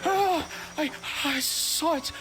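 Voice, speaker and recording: High, halting, frightened scholar. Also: female voice, music in the background. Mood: terrified. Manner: halting, stammering, fearful. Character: frightened, stammering, cowering creature-folk scholar. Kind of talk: video game cutscene acting. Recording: loud game noise behind voice.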